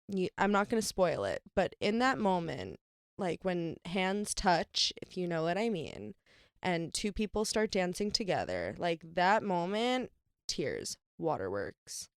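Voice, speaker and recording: A woman's voice: lowish voice, clear, resonant tone, dry recording, clean studio sound. A young woman hosting a podcast, talking casually and animatedly with lots of fillers. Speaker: podcast host. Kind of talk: casual chat.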